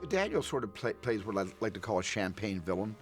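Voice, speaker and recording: A filmmaker reflects on casting in a documentary interview, pausing to choose his words. His lowish voice has music clearly behind it. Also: animated.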